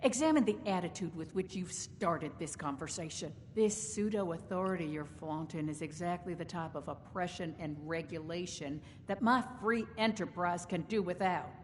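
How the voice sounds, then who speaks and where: A woman's voice: fairly low pitch, normal speed, hardly any pauses, a little hiss or hum, natural voice. Woman acting a female game character, shrewd company boss, folksy, sardonic, genteel, wordy, reverberant. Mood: sardonic, indignant. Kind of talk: video game character dialogue.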